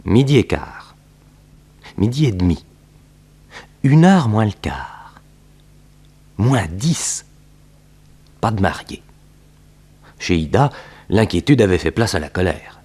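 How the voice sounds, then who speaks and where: A storyteller nephew in a 1960s radio serial. His voice is lowish and very lively, rising and falling theatrically as he narrates. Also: takes it slowly, long pauses, slightly rough, full character voice. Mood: mock suspense. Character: detective's nephew recounting his uncle's exploits, theatrical.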